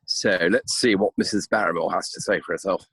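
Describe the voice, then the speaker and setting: A genial but easily flustered gentleman heir acted by a man in a comic play. The voice is husky and fairly low, theatrical in delivery and closely, dryly recorded. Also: steady tone, a little fast, no echo, exaggerated voice. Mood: puzzled. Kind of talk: comic amateur radio play reading.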